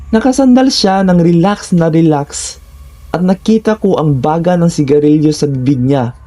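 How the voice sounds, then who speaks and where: A man's voice: fairly high pitch, moderate pace, mellow. A man with a Filipino accent giving a scripted first-person narration of horror stories.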